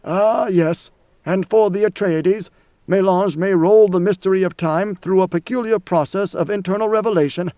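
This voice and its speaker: A man's voice: high-pitched, no room sound, highs cut off, close to an everyday voice. A man voicing an imperious god-emperor. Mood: commanding. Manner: measured, lofty, commanding. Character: ancient imperious male god-emperor, coldly commanding.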